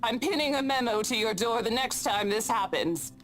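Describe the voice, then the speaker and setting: High-pitched, stern scolding neighbour. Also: female voice, animated, normal speed, a bit bright, faint music behind. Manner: scolding, exasperated. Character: stern irritated woman scolding noisy young neighbours. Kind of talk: video game voice acting.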